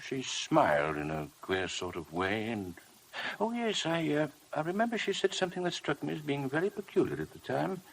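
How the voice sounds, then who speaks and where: A man playing a discreet, dignified London doctor in 1950s radio drama, formal and deliberate. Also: a little high, sing-song, gravelly, a bit bright, slightly rough, exaggerated voice. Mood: grave. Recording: noisy vintage radio broadcast recording.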